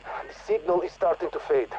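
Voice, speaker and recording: An actor with a Russian accent playing a lone male radio operator in a video game, speaking in a halting, earnest way over a radio transmission. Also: fairly high pitch, darkish tone. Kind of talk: video game audio log. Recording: muffled radio transmission with static.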